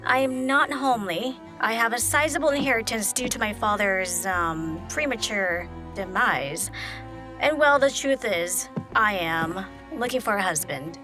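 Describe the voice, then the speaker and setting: An actress playing an eccentric, forward spinster on the hunt for a husband in a comic audio play, genteel and coy with menacing undertones. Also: female voice, medium pitch, a little slow, over music, lightly acted. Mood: insistent, genteel.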